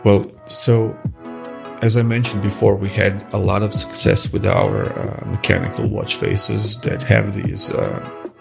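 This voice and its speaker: A man's voice: lowish voice, vocal fry. A middle-aged man from Croatia, a native Croatian speaker, answering interview questions in a hesitant, casual way with many restarts. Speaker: guest, watch face designer.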